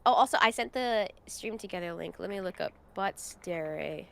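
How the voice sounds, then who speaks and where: A woman's voice: mid-range voice, lively intonation, gravelly. A woman streamer with a sniffly cold, chatting playfully and giggling with friends on a voice call. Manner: giggly, playful, drawn-out silly emphasis. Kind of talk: casual chat while gaming.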